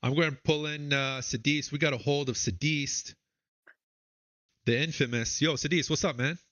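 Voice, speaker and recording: A man casting a strategy game tournament, chatting with a co-caster and interviewing a player, with frequent drawn-out fillers. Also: fairly high pitch, fairly even intonation, pushed and bright, no room sound. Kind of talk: live game commentary and interview.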